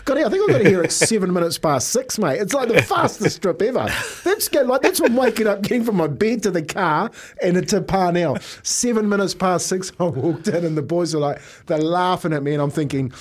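A middle-aged man with a New Zealand accent telling stories in casual radio banter, animated and often chuckling as he talks. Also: high-pitched, brisk, few pauses. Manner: animated, jokey storyteller who often chuckles while talking. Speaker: radio show host.

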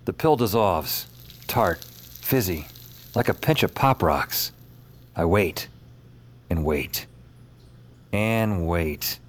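Wry, uneasy audio drama hero; low, unhurried, terse first-person narration with music under it. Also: male voice, animated, exaggerated voice. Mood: wry, wary. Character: wry, uneasy male hero telling his story. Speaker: narrator and protagonist. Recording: music behind the voice.

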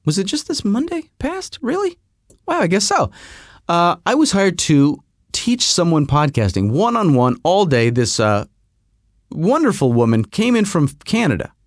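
A man with a fairly high voice tells a rambling comic story as podcast host, his pitch swooping up and down as he goes. He breaks into self-mocking asides. The sound is close and dry. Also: big pitch swings, measured pace, no room sound.

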